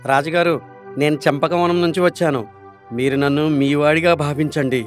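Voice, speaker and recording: A man voicing an anxious, respectful monkey messenger in an animated story. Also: on the high side, fairly quick, soft background music, lightly acted. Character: monkey messenger, anxious, deferential, loyal informant.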